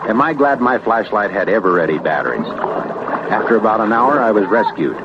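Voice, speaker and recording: An American actor playing an earnest accident survivor in a dramatic testimonial radio commercial. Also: male voice, fairly low pitch, very animated, a little fast. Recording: dull, very noisy vintage radio ad.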